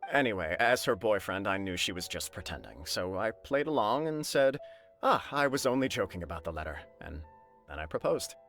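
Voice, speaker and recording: A man's voice: fairly low pitch, sing-song, fairly quick, fairly bright tone. An actor voicing an earnest, lovestruck young man about to marry in a video game. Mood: fond. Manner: earnest, lovestruck and slightly smug. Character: smitten young male groom-to-be, earnest and proud. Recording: game audio with faint music.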